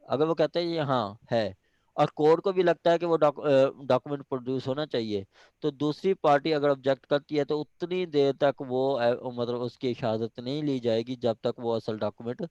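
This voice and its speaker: A man's voice: medium pitch, darkish tone, smooth, no room sound. Pakistani man lecturing, dull lo-fi recording. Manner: switches between reading formal English haltingly and explaining conversationally. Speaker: law lecturer. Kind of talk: informal lecture explaining a legal text clause by clause.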